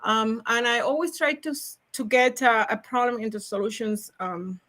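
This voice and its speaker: High-energy Cuban woman, bright voice. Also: moderate pace. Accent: Cuban Spanish. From Cuba. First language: Spanish. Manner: energetic, upbeat, laughs often, repeats words. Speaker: logistics salesperson, guest. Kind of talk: podcast interview.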